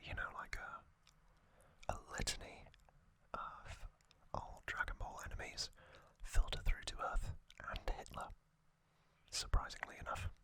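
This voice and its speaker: An ASMR host speaking in a soft, breathy, mostly unvoiced whisper, gently recapping in short phrases with lingering pauses, close to the microphone. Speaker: host of a whispered trivia channel.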